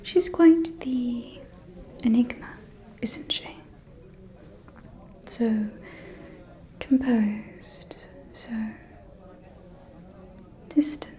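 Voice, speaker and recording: Lady doing a posh scheming vampire woman for asmr, breathy whisper, kinda high but soft and dark, fake caring, insinuating. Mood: coy, insinuating. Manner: slow, silky, insinuating, condescending.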